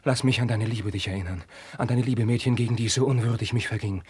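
A desperate young prince in a classical radio play. His medium-pitched voice is breathy and husky, fervent and pleading in an old theatrical manner. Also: steady tone, fast talker, darkish tone. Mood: tender pleading. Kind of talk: 1950s German classical radio drama acting. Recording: 1950s radio studio recording.

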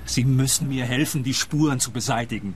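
A man's voice: medium pitch, fairly quick, over loud music, lightly acted. A man playing a pushy, bumbling detective. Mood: earnest. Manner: earnest and pushy. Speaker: private detective. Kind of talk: radio play acting.

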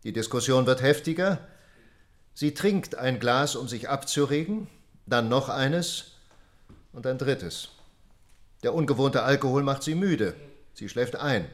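An actor playing a stern, probing court prosecutor who lays out the case deliberately and insistently in a radio drama. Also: male voice, mid-range voice, lively intonation, natural voice. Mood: stern, accusing.

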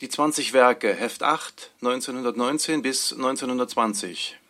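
Man acting as matter-of-fact archivist, medium pitch, formal announcements, measured itemizing, radio play. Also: pushed and bright, natural voice. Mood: neutral, formal. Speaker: announcer of manuscript titles and dates.

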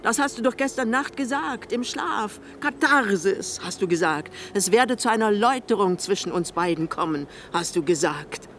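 A woman's voice: mid-range voice, lively intonation, moderate pace, few pauses, fairly bright tone, slight background noise, natural voice. An actress playing a sick, eccentric older mother in a German radio play, theatrical, reproachful and sarcastic. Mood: sardonic. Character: sick, eccentric old mother, bitter, manipulative, scolding her son.

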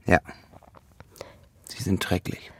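An actor playing a grave, guilt-laden but resolute man in a radio play, gravelly and husky, speaking in measured, terse phrases. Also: dry recording, close to an everyday voice. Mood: sombre. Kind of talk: German radio play acting.

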